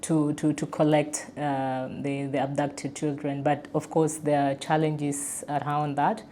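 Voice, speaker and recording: A woman's voice: low-pitched, level tone, a little slow, warm tone. A woman speaking as a podcast panelist, explaining reflectively and somewhat hesitantly. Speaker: podcast panelist, peace facilitator. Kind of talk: reflective discussion. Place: indoor room around a wooden table. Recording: clear background noise, room ambience.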